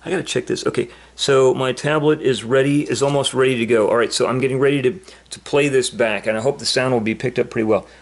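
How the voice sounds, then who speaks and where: A man talking casually and unscripted as a vlogger demonstrating something, in a halting, repetitive, rambling way. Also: medium pitch. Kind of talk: casual unscripted explanation to camera.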